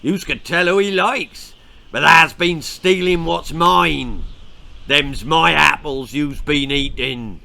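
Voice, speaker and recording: A man voicing a gruff, menacing farmer with a deep voice and an English rural dialect, in a children's horror-comedy storybook. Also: slow, bright, forceful tone, full character voice. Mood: threatening.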